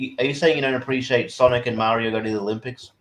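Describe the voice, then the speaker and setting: A man's voice: medium pitch, steady tone, racing, a crowd in the background. A man on a panel bantering casually in a joking, sardonic way.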